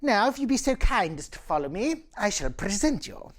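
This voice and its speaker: Woman playing a formal gnome chancellor, swooping pitch. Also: big pitch swings, normal speed. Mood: ceremonious. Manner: stiffly courteous, ceremonious. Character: formal, deferential gnome chancellor and royal secretary. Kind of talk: in-character tabletop roleplay.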